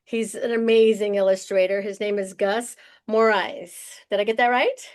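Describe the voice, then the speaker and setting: A woman's voice: mid-range voice, steady tone, normal speed. A woman hosting an interview, enthusiastic and bubbly as she welcomes and questions her guest. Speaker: interview host.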